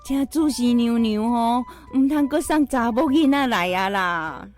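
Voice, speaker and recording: A woman playing a superstitious, fretting old grandmother in a radio drama, with a Taiwanese accent and drawn-out exclamations. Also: on the high side, a little mellow, soft background music, natural voice. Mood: fretful.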